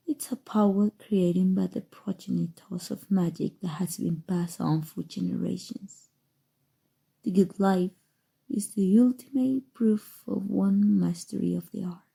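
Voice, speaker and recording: A woman playing a calm, seductive, obsessive evil sorcerer in an intimate, coaxing ASMR roleplay, with dramatic pauses. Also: on the low side, gravelly, breathy edge, heavily acted. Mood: smug, explaining. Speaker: voice actor. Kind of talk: ASMR roleplay, scripted acting.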